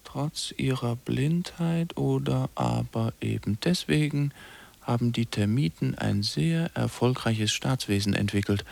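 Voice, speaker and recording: A man's voice: mid-range voice, creaky, a little mellow. A man recites scripted text in a declarative way, as in an experimental word-play piece.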